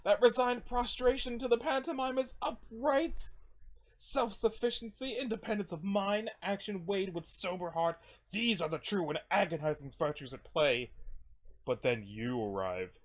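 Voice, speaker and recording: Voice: medium pitch, moderate pace, voice pushed up, natural voice, low-quality recording. A performer voicing a stern, bitter comic character who rants in grand, preachy language. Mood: scornful, grave. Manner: grandiloquent, ranting.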